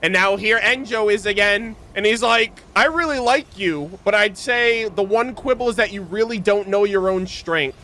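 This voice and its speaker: A man giving live game reaction commentary in casual, animated, slangy talk as a streamer. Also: high-pitched, normal speed, few pauses, slight background noise.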